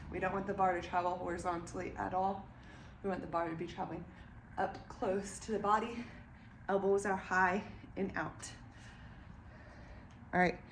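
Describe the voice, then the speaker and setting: A young woman with a slightly nasal, congested voice, giving casual lifting tips in a home garage between sets and a bit winded. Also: medium pitch. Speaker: vlogger and former weightlifter. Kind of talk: casual training vlog with coaching tips. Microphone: camera set up away from her. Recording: echoey garage from a distance with noise.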